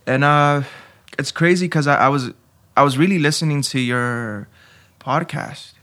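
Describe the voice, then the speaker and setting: A man's voice: on the high side, measured pace, bright, forceful tone. A man in his twenties from Honduras, a podcast guest speaking candidly and searchingly, restarting his sentences often. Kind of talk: conversational interview.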